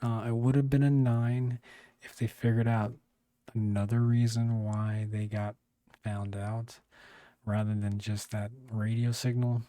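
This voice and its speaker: Man, hoarse, clearing throat, rambling review, mellow tone. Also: on the low side, flat delivery, a little slow, no room sound. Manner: rambling, many fillers and restarts. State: losing his voice, clearing throat. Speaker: video reviewer. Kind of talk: casual video review.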